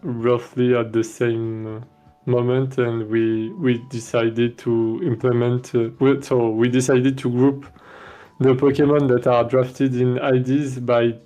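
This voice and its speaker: A man's voice: mid-range voice, flat delivery, slow, mellow, faint music behind, rough recording. A man with a French accent, a game developer chatting casually on a stream, hesitating often with many fillers and restarts. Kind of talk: casual dev stream chat.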